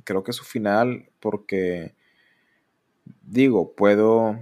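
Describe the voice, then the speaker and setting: A man's voice: slow, darkish tone. A man in his thirties with a Mexican accent, hosting a solo podcast and speaking candidly in a rambling way. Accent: Mexican Spanish. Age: 30s. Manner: candid, rambling, mocks others in exaggerated high voices. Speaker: podcast host.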